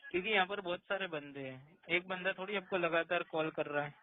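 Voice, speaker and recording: High-pitched male collection agent on phone. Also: a little fast. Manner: insistent, explanatory, repetitive. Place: call centre, on a phone line. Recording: tinny, band-limited phone call recording.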